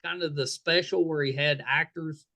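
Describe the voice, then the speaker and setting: A man's voice: on the high side, fairly even intonation, normal speed, pushed and bright. A man hosting a podcast, chatting casually and in a friendly way with his guest. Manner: friendly, chatty, self-deprecating. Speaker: podcast host. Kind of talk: casual interview chat.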